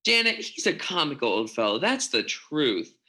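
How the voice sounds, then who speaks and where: A man's voice: fairly high pitch, sing-song, moderate pace, sharp tone. A man playing a cheerful, good-humored young nephew in an amateur radio play recorded over a video call. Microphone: home video call mic.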